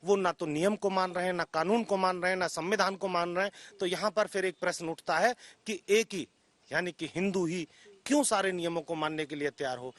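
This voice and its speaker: A man's voice: high-pitched, a little fast, fairly bright tone, no room sound. A man making an emphatic, rhetorical opinion statement with repetitive phrasing. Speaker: interviewee.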